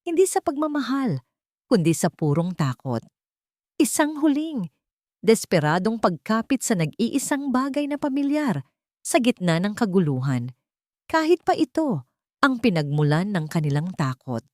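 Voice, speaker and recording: A woman reading a scripted audio drama in an emotive, deliberate storytelling manner. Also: medium pitch, sing-song, no echo, studio quality.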